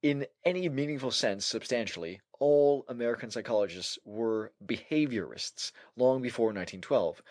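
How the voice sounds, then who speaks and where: A man narrating a scholarly essay as an audiobook, in a formal and measured manner. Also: fairly low pitch, dry recording.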